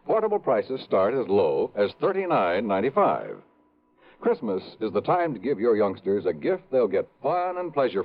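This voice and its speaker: A man announcing in 1950s radio commercial style, with polished, emphatic salesmanship. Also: medium pitch. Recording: tinny, narrow-band vintage radio recording.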